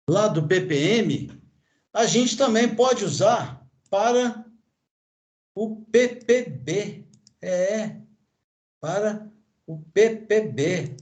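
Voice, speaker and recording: A Brazilian man in his sixties or older, a chemistry teacher giving a lecture, pointing at a graph and tagging nearly every phrase with questions to his class. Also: high voice. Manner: pointing at a graph with constant tag questions and fillers. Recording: echoey room.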